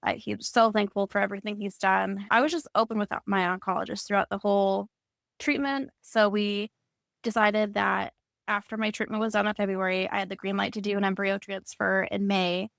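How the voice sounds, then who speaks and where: Creaky-voiced woman in her thirties, conversational. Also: medium pitch, steady tone, normal speed, fairly bright tone, no echo, studio quality. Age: 30s. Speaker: panelist, cancer patient and mother. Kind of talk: personal testimony in a panel discussion.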